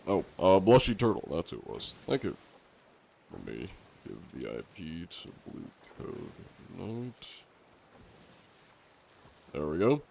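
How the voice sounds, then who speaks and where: A man chatting casually to viewers on a live game stream, congested and coughing now and then, chatty and quick to laugh. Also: low voice, very animated, gravelly, a little mellow, a little hiss or hum.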